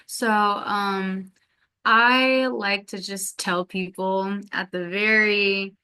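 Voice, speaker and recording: A woman's voice: mid-range voice, steady tone, extremely slow, sharp tone, smooth. A woman who stutters, with sound repetitions and lots of filler ums, talking casually as an interview guest. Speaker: podcast guest.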